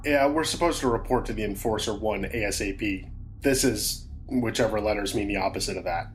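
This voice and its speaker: An actor playing a skeptical, rule-following security team member in a science fiction audio drama, with blunt, colloquial, questioning delivery. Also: male voice, fairly low pitch, fairly quick, slight background noise, close to an everyday voice. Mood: puzzled.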